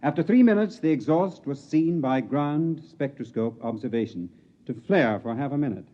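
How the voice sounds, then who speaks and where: An actor playing a brisk, official Air Force colonel giving a formal statement in a 1950s radio drama. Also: male voice, medium pitch, expressive, dark tone, close to an everyday voice. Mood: formal, grave. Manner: formal, clipped. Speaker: military information officer. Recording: dull 1950s radio recording.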